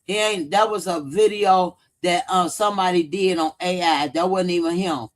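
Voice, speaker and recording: A man's voice: sits high, steady tone, moderate pace. A man hosts a casual livestream. He talks bluntly, cusses freely and hammers points by repeating them, often answering viewers as he goes. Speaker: true-crime commentary livestream host.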